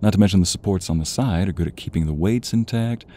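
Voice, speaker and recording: A man's voice: deep voice, quick pace, warm tone, pitched above the performer's own voice. A man playing a playful, doting boyfriend at a home gym in a romance audio roleplay, chatting in a teasing, affectionate way with small grunts.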